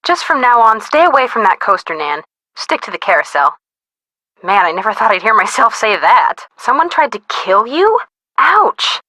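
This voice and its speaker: A peppy young female sidekick in a mystery game. Her high, bright voice swings expressively through sassy, teasing banter. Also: very animated, moderate pace, dry recording, heavily acted. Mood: sassy, curious. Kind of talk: scripted mystery game voice acting.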